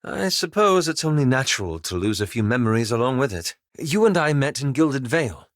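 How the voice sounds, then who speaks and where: A reserved, scholarly male adventurer from a fantasy game, acted by a man. His mid-pitched voice moves expressively through formal, careful phrasing. He is polite and a little apologetic, recorded very dry. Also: very animated, no echo, close to an everyday voice. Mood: measured, confiding. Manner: formal, measured, polite, hesitant diction. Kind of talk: video game character dialogue.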